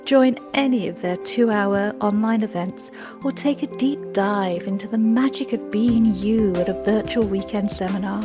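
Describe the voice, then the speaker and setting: A woman's voice: medium pitch, normal speed, hardly any pauses. Woman reading a promo over music. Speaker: announcer. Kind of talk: scripted promo read.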